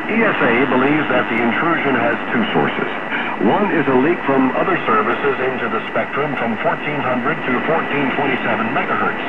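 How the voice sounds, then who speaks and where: A man reads a formal, scripted news report. The sound is thin and narrow like a phone line, buried in heavy shortwave radio noise. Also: medium pitch. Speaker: news reader.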